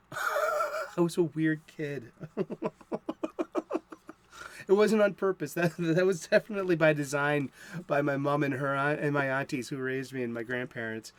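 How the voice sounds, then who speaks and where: A man's voice: a little high, sing-song, unhurried, pauses often. A man in his fifties with a light Boston accent, hosting a comic book show in a chatty, rambling, enthusiastic way. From South Boston, USA. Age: 50s. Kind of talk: casual chat to the viewer.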